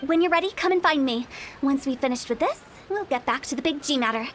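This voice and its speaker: A breezy, mischievous funeral director. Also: female voice, sits high, animated, fast talker, slight background noise. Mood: confident. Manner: breezy, confident, teasing. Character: mischievous young woman funeral director, playful and shrewd. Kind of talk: game voice acting.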